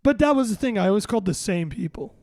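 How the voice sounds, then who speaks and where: High, raspy young man hosting a podcast. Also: expressive, mellow, no echo, clean studio sound. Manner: rambling, joking, quick restarts. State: hoarse, voice worn out. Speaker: podcast host. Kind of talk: casual podcast chat.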